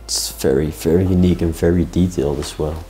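A young man casually chatting while exploring an abandoned castle, relaxed and full of questions. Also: low voice, quick pace, faint music behind. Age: young adult. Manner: relaxed, agreeable, asks questions. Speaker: urban explorer co-host. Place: large abandoned castle indoors.

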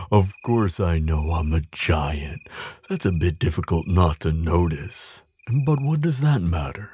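Casting: male actor for a kindly, protective giant in an audio roleplay; very low, slow voice, tender and soothing. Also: expressive, a little mellow, breathy edge, dry recording, heavily acted. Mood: kindly, curious. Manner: tender, reassuring, polite, slightly hesitant. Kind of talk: roleplay audio drama, speaking soothingly to the listener.

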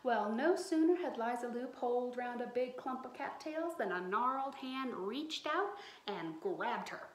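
High-voiced woman storyteller in an echoey room. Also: animated, measured pace. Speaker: children's storyteller. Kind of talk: expressive picture-book read-aloud for children. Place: small bright room indoors. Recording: roomy indoor echo.

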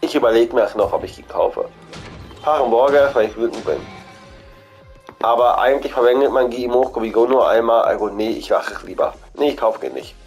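A man's voice: mid-range voice, a little fast, a little mellow, faint music behind. A man with a cold, chatting casually as he walks through an in-game shop, repeating himself and thinking aloud. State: has a cold. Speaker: gaming channel host.

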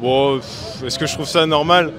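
A man's voice: fairly high pitch, moderate pace, music in the background. A young man at a carnival answering a street interview on outdoor steps, hesitant and stammering, into a handheld mic. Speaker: carnival participant. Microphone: handheld mic held to him.

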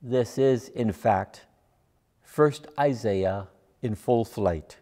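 An older man delivering a measured, scripted sermon as a preacher, deliberate in his phrasing. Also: fairly low pitch, mellow. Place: large church sanctuary, at a lectern. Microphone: lapel mic. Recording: long church reverb.

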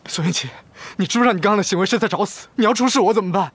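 A man's voice: sits high, racing, a bit bright, slight background noise. An actor playing a worried, scolding young man in a TV romance drama, speaking with urgent reproach. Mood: angry, worried. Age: young adult.

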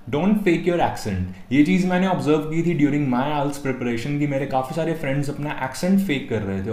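A young man from India with an Indian accent, teaching exam tips in a video lesson and stressing each tip title. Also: mid-range voice. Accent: Indian English. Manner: energetic, punches each tip title louder. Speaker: test-prep tutor. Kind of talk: scripted video lesson, Hindi-English mix. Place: small room at a desk. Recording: echoey room.

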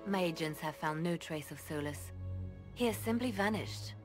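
An actress voicing a composed, loyal female spymaster in a video game cutscene. She speaks in a measured, formal way with a dry touch of amusement. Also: lowish voice, animated, over music, lightly acted. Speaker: spymaster advising the Inquisitor.

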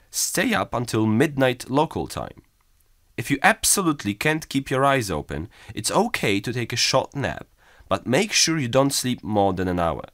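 Man, animated intonation, dry studio sound, exam listening reader. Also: medium pitch, no echo. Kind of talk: scripted reading for a listening test.

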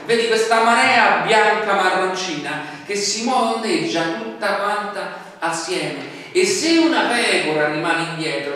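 A man's voice: high-pitched, sharp tone. Male pastor preaching in an echoey hall. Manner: conversational preaching with rhetorical questions and frequent fillers. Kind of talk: sermon. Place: small church hall, indoors. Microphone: distant camera mic. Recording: echoey hall from far off with faint noise.

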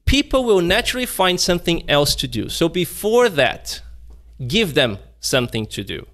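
A man in his thirties presenting a webinar from home over a video call. His voice sits on the high side, and he keeps swinging it between bright, lifted lines and quiet, slowed-down ones to show what he is teaching. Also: sing-song. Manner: shifts voice energy up and down to demonstrate, conversational. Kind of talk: live online webinar talk. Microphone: video call webcam.

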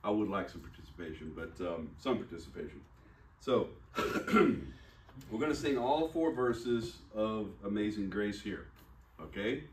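A man's voice: fairly low pitch, animated, unhurried. Man preaching in a roomy hall. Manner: folksy, jokey teaching with laughs. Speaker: pastor. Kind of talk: sermon. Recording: roomy church hall, distant mic, faint hiss.

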